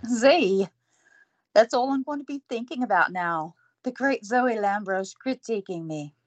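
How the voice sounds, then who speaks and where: A woman's voice: a little high, lively intonation, a little slow, no echo. A woman playing a flustered, earnest young woman in an audio drama.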